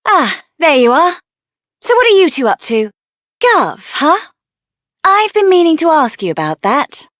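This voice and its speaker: High-pitched, spirited young mage heroine. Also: female voice, unhurried, no echo, clean studio sound, heavily acted. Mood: friendly, brisk. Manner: assertive, sassy, expressive. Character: spirited, headstrong young female mage adventurer. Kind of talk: video game character voice acting.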